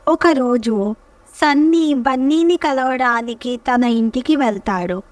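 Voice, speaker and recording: A woman narrating a scripted children's story as storyteller, with measured, careful phrasing. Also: sits high, no room sound, distant mic.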